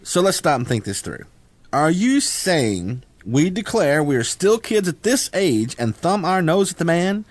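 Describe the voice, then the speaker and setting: A man acting a megalomaniac young nerd in a radio comedy. He is slangy and self-important, with a slightly high voice that swoops around, recorded dry and close. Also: sing-song, unhurried, no room sound. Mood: exasperated, scheming. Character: whiny, megalomaniac young male nerd gang leader. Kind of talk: scripted comic radio drama acting.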